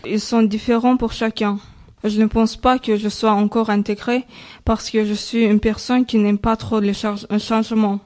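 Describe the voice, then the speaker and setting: A young woman from Azerbaijan speaks on a youth radio show in a language she learned later. Her voice sits at a middling pitch and moves very little. The delivery is prepared and personal. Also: darkish tone. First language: not French.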